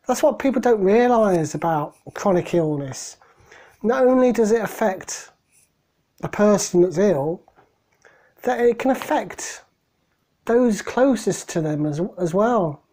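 A middle-aged man, hoarse from a cold, talking haltingly and emotionally into a webcam in a small room at home. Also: high-pitched, a little mellow. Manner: halting, emotional, many restarts. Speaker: vlogger. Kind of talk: personal video diary. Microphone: webcam at desk.

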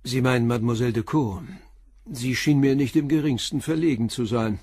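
An actor playing a calm, probing police inspector with a raspy voice and measured questions in a radio crime drama. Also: male voice, mid-range voice, dry recording, studio quality, lightly acted.

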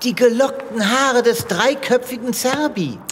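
An actor playing an earnest, self-important space veterinarian with a theatrical manner in an audio drama. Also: male voice, very high voice, measured pace, slight background noise. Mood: sheepish. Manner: theatrical, pompous.

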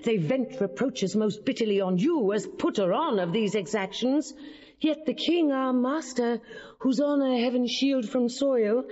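A woman's voice: a little high, darkish tone. A woman playing a dignified, earnest queen pleading boldly in classical verse for an audio drama. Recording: muffled, long hall reverb.

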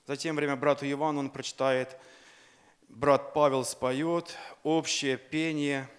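A middle-aged man leading a church service, briskly announcing what comes next on a handheld mic. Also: medium pitch, level tone. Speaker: service leader. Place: large church hall. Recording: long church hall reverb.

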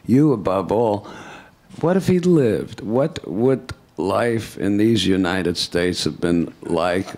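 An older man on a discussion panel, speaking in a halting, musing way. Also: lowish voice, lively intonation, darkish tone. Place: lecture hall, at a panel table.

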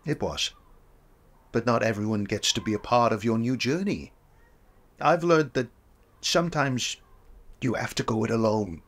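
An actor playing a calm older mentor who gently encourages a young woman to chase her dream. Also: mid-range voice, normal speed, long pauses. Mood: encouraging.

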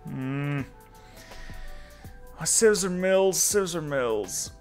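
A man's voice: a little high, animated, drawn-out words, a little mellow. Offhand Flemish man streaming a game. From Flanders, Belgium. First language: Dutch. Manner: muttering to himself while playing, dry and offhand. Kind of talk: casual gameplay commentary, chatting with viewers. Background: faint background music.

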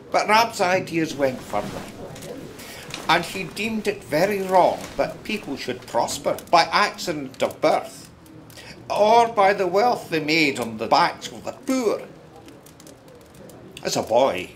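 An actor playing a chatty, wry Scottish storyteller in a radio drama, confiding and colloquial. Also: male voice, a little high, fairly bright tone, slight background noise, exaggerated voice. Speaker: narrator. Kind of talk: conversational radio drama narration.

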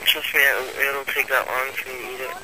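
A man's voice: medium pitch, flat delivery, brisk. A tired young man chatting casually over a phone speaker. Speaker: friend on a phone call. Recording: noisy speakerphone call picked up outdoors.

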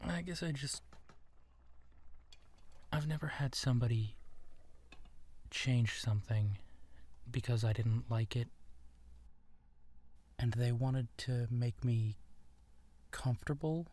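Man acting as young male werewolf, slow pace, soft-spoken, hesitant, insecure, earnest, intimate ASMR drama. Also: medium pitch. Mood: moved, vulnerable. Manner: hesitant, halting, soft-spoken with breathy whispers.

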